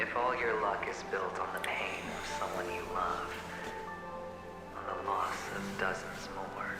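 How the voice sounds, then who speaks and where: Slow, echoing, taunting phantom gambler. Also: male voice, low-pitched. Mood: sorrowful, bitter. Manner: theatrical, breathy, drifting into whispers. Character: taunting, weary shadow self of a gambler man. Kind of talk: dramatic video game voice acting. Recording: heavy echo under loud game music.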